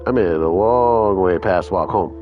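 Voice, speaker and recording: A man's voice: sits low, moderate pace. A man live-streaming a game with a stuffy nose, talking casually and grumbling with the odd swear. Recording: dull lo-fi stream audio. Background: game music.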